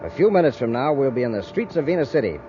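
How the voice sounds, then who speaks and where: Fast-talking scheming crook, played by a man. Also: mid-range voice, very animated, dark tone, lightly acted. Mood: confident. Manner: curt, bossy. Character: cold, scheming crook, bossy adult man. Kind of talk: 1950s radio villain acting. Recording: muffled, faintly noisy 1950s radio recording.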